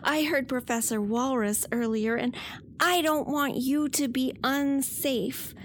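High-pitched, earnest little octopus kid. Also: female voice, takes it slowly. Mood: eager, pleading. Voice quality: high, childlike. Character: eager, earnest young octopus child, plucky and sweet. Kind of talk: children's audio drama.

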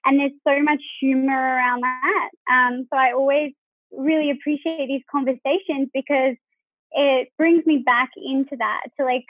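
A woman's voice: sits high, steady tone, moderate pace, dry recording. A woman guest on a podcast, chatting candidly and enthusiastically over a remote call, swearing freely. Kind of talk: casual chat. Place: remote call from her home at evening. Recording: dry, phone-like call line.

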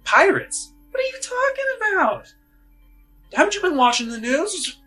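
A man's voice: very high-pitched, big pitch swings, normal speed, bright, forceful tone, faint music behind, pitched above the performer's own voice, exaggerated voice. A man voicing grumbling, alarmed passengers on a delayed starship in a tabletop game, complaining and exclaiming. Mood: annoyed, alarmed.

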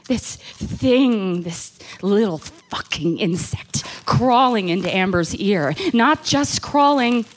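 An actress playing an anxious, haunted woman in a horror radio play, confiding in a halting, intense way. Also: medium pitch, expressive. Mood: panicked. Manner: halting, intense confessional delivery. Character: anxious, haunted woman recounting an insect terror. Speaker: actor.

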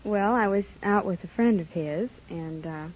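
A woman's voice: mid-range voice, normal speed. A twenty-year-old woman answering a host's questions as a quiz show contestant on the radio. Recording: muffled old radio recording, faint noise and audience. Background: live studio audience.

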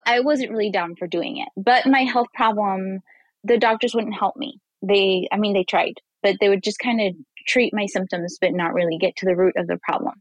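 Woman from Mexico, vegan chef guest; lively storyteller who acts out other people's lines, mid-pitched, dry close mic. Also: moderate pace, dry recording, poor audio. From Mexico, raised on the Texas border. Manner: animated storyteller who acts out quoted voices and laughs often. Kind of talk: casual interview conversation.

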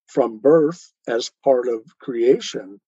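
A man explaining his ideas in a measured, didactic way, building each point step by step, on a slightly dull recording. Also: medium pitch, warm tone, slightly rough, no echo. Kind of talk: explanatory talk on spirituality.

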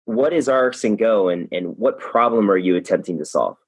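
A man's voice: fairly low pitch, lively intonation, brisk, breathy edge, dry recording. A man hosting a podcast interview, upbeat and chatty, often repeating words as he talks. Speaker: podcast host.

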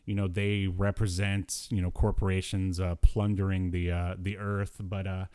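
A man co-hosting a podcast in casual, unscripted talk, often halting as he gathers his thoughts. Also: low voice, flat delivery, darkish tone, clean tone, no room sound. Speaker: podcast co-host.

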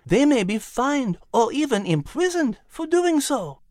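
A woman's voice: high-pitched, very animated, a little slow, darkish tone, no echo, studio quality. A woman voicing a thoughtful, elegant female animal with a French accent, explaining history in an audiobook story.

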